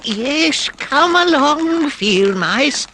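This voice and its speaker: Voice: mid-range voice, wildly swooping pitch, slow pace, a bit bright, voice pushed up, heavily acted. A storyteller voicing a timid, homesick male mole who talks in a plaintive, fretful way. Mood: eager. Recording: noisy background hiss.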